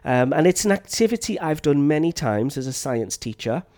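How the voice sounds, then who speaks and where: A science teacher giving relaxed, unscripted advice to other teachers, with frequent ums and a slight cough from a chest infection. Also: male voice, fairly high pitch, moderate pace. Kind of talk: teacher training talk.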